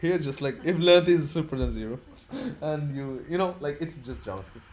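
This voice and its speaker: Guy teaching code, english isn't his first language, voice a bit high, casual, repeats himself and keeps checking you get it. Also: expressive, moderate pace, a little mellow. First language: not English, which is a third language. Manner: informal, repeats words and checks understanding. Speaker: programming instructor. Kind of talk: interactive teaching, explaining code step by step.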